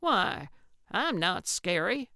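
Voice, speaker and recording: A woman's voice: fairly low pitch, sing-song, slow pace, sharp tone, dry recording, clean studio sound, full character voice. A woman voicing a brusque, cranky older woman in an audiobook, snappish and blunt but well-meaning. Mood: gruff, demanding. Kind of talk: audiobook character acting.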